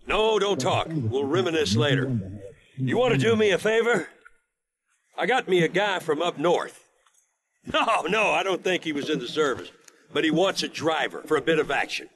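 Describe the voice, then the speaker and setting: An actor playing a boastful, folksy gun-loving self-styled army veteran in a video game, with a cocky drawl. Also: male voice, a little high, animated, normal speed, fairly bright tone. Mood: jovial. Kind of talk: video game cutscene acting. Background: music.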